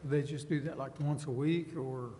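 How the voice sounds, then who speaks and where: A man's voice: on the high side, steady tone, normal speed, warm tone, slight background noise. A man informally asking questions at a public meeting, hesitant and restarting his phrases. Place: large indoor council chamber. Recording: reverberant meeting hall.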